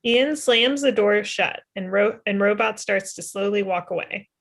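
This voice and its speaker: Young woman, scripted narration, matter-of-fact, medium pitch, video call. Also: measured pace, creaky. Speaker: stage directions reader. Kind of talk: scripted narration at a table read. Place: indoor room at home.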